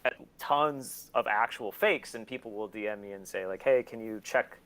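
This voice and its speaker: A man's voice: fairly low pitch, normal speed, fairly bright tone, low-quality recording. A man chatting casually as an interview guest, candid, profane and self-deprecating, telling rambling stories and acting out quotes. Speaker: guest, collector.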